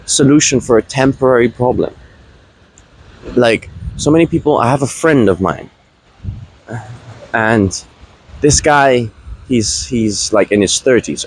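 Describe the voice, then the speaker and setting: A man's voice: mid-range voice, husky, poor audio. A man in his late twenties talking casually to the camera in a rambling vlog, restarting his sentences and laughing. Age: 20s. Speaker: vlogger. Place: outdoors at a beach.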